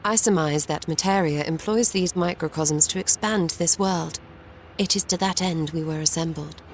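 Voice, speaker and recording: Low-voiced, formal fantasy heroine played by a woman. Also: moderate pace, a little hiss or hum, close to an everyday voice. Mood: scholarly. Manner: formal, archaic, precise diction. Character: refined, formal, knowing fantasy game champion. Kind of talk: video game cutscene acting. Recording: music playing behind.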